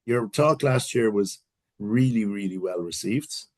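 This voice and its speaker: A man's voice: fairly low pitch, animated, measured pace, a little mellow. Irish male interviewer, brief prompts. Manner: friendly, brief prompting questions. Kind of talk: casual interview chat.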